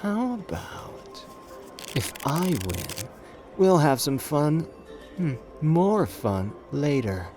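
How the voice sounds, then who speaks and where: A man's voice: a little high, sing-song, a little mellow, faint music behind, heavily acted. A man playing a suave, flirtatious, confident gambler in an ASMR roleplay audio drama, teasing and intimate in the way he talks. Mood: playful, confident. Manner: teasing, intimate, drawn-out phrasing with pet names.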